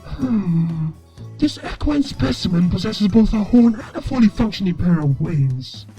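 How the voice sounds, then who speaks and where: Inky creature, male performer: curious, clinical, pedantic, mellow tone with animated pitch. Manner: analytical, pedantic. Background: background music.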